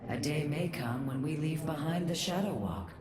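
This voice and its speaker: A woman's voice: sits low, animated, normal speed, a loud crowd. A woman playing a wise, wistful alien matriarch in a science fiction game, speaking formally and reflectively. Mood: wistful, resigned. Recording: distant, echoing, loud ambient noise.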